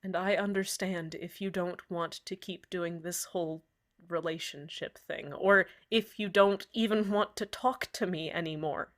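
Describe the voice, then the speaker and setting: A woman voicing a heartbroken community radio host who speaks in an earnest, halting way with many pauses. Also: on the low side, steady tone, fairly bright tone. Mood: remorseful. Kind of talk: fan radio drama monologue.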